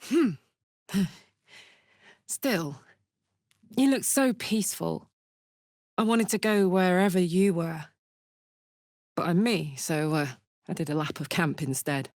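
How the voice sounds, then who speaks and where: A woman voicing a tough, playful, warm-hearted tiefling warrior woman in a video game, talking bluntly and colloquially with plenty of swearing. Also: fairly low pitch, slow, long pauses, no echo, clean studio sound. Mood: casual, wry. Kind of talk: video game character voice lines.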